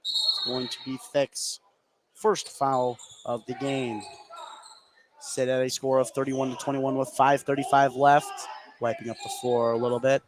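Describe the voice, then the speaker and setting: A man's voice: medium pitch, level tone, unhurried. A man doing live play-by-play basketball commentary as a radio announcer. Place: indoor gymnasium, courtside. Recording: clear background noise from a gym.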